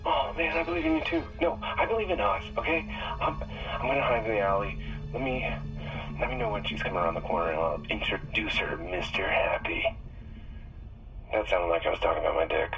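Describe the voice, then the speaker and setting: An actor with an American accent playing an excitable, self-serious amateur bounty hunter over a phone line in a comedy audio drama. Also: male voice, fairly low pitch, brisk, music in the background. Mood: keyed up. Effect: phone call filter.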